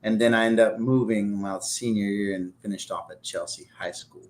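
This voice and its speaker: A man's voice: fairly low pitch, steady tone, moderate pace, a little mellow. A man in his forties from Pakistan, a restaurant owner telling his story in a loose, talkative way during a casual interview.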